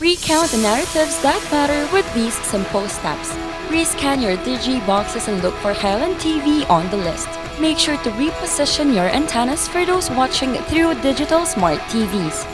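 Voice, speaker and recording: Upbeat woman reading a promo over loud guitar. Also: high-pitched, lively intonation, moderate pace, few pauses. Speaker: station announcer. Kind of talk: scripted promo read. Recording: loud guitar music bed.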